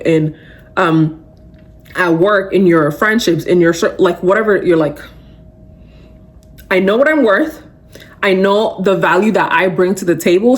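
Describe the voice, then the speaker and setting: A young adult woman hosting a podcast, giving a casual, emphatic motivational talk full of fillers. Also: fairly low pitch. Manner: animated, emphatic, conversational with many fillers. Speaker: podcast host.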